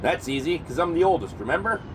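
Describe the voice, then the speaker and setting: A man's voice: a little high, fast. A man playing a cocky, dim-witted twin brother who boasts and insists he is right, in a comedy audio drama. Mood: smug. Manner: boastful, animated storytelling. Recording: car and street noise behind.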